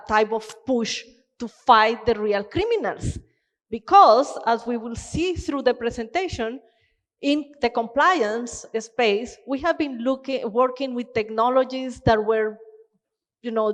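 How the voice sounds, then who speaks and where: Passionate Colombian-accented woman presenter. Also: on the high side. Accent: Colombian-Canadian Spanish-tinged English. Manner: earnest, passionate, with frequent fillers. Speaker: conference presenter. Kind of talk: keynote presentation. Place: conference hall. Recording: long hall reverb.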